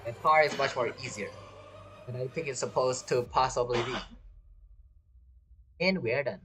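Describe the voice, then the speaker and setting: A man's voice: mid-range voice, normal speed, a bit bright. A man giving casual live gameplay commentary, talking as a gaming streamer. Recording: game music behind the voice. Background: video game music.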